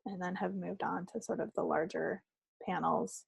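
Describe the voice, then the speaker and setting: A woman's voice: lowish voice, fairly even intonation, moderate pace, vocal fry, breathy edge, dry recording. A woman chatting casually as co-host of a hobby podcast. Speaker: co-host of a knitting video podcast. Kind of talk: casual chat.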